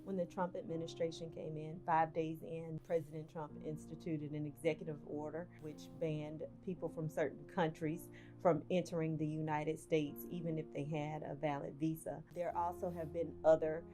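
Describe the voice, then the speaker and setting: A woman explaining immigration policy in a measured, explanatory way in an interview. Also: low voice, little pitch movement. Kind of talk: documentary interview. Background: guitar music.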